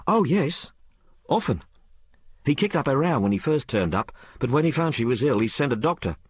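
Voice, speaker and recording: A man voicing a rough, frank working-class young woman in an audiobook, blurting out her tale in colloquial, ungrammatical speech. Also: medium pitch, big pitch swings, moderate pace, pitched above the performer's own voice. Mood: frank, gossipy. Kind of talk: audiobook character dialogue.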